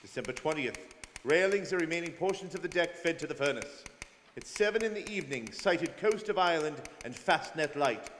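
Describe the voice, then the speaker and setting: A man's voice: a little high, expressive, fairly quick, a bit bright. A man playing a stern, dogged detective who narrates a radio drama in an emphatic, clipped style. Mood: suspicious, reporting. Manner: emphatic, telegraphic. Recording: hall reverb, faint noise, community studio.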